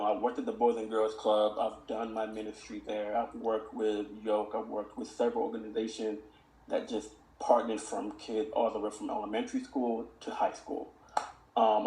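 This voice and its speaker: A man's voice: lowish voice, dark tone. A young man speaking earnestly as a podcast guest, reflecting on mentoring kids and reciting his own lyrics. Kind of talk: reflective conversational interview. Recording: echoey room.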